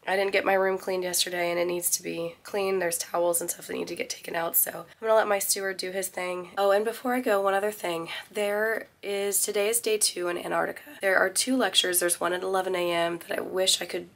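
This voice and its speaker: A hoarse woman in her forties talks casually to her phone from a small ship cabin. Her voice is low and gravelly, fairly flat in pitch, and she wanders through her thoughts with many restarts. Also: fairly quick, hardly any pauses. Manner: rambling, self-correcting. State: hoarse, recovering from lost voice. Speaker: travel vlogger. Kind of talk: casual vlog chat to camera. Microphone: phone at arm's length.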